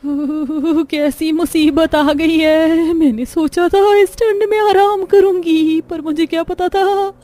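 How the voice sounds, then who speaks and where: A woman's voice: very high voice, steady tone, slow, hardly any pauses, warm tone. A woman voicing an anxious young daughter-in-law in a Hindi cartoon family drama, with plaintive, dramatic complaining. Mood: miserable, shivering.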